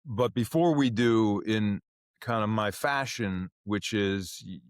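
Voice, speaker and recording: A man's voice: lowish voice, slow, clean tone, no echo, clean studio sound. A man hosting a podcast interview, talking casually and chattily, often repeating and restarting words. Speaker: podcast host.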